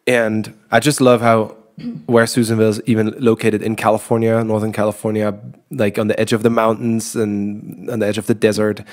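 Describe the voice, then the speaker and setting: A man, co-hosting a podcast in a relaxed, rambling chat. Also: fairly low pitch, brisk. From Germany. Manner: relaxed, rambling with restarts and laughs. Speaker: podcast co-host. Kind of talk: casual chat.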